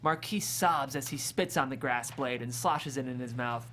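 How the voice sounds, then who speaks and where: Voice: mid-range voice, moderate pace, fairly bright tone, slight background noise. A narrator reading stage directions from a script at a comedy table read.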